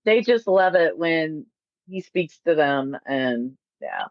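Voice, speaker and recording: A woman's voice: low-pitched, expressive, takes it slowly, no echo, muffled recording. Dry-sounding woman podcast host. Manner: affirms often with short yeahs, asks questions. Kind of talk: casual chat.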